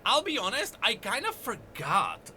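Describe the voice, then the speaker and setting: A man's voice: high-pitched, huge pitch swings, a little slow, bright, forceful tone, a little hiss or hum. A man giving enthusiastic, emphatic off-the-cuff reaction commentary on game lore.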